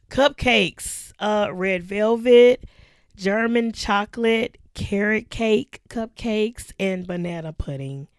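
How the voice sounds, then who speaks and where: A hoarse woman co-hosting a podcast, chatting casually with laughs and slow, drawn-out lists. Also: medium pitch, no echo, clean studio sound. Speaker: podcast co-host. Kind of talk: casual chat.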